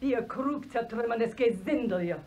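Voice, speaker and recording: A woman's voice: fairly high pitch, expressive, measured pace, a little mellow. An actress playing a quarrelsome, indignant village mother in a classic German radio comedy, scolding and mocking. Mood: furious, mocking.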